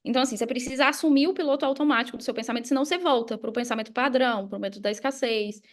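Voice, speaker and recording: A woman's voice: on the high side, rapid-fire. A Brazilian woman speaking as a podcast guest, explaining mindset in a casual, motivational and emphatic way. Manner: emphatic, repeats key phrases, uses examples and acted quotes. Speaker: podcast guest, affiliate marketer and mindset coach.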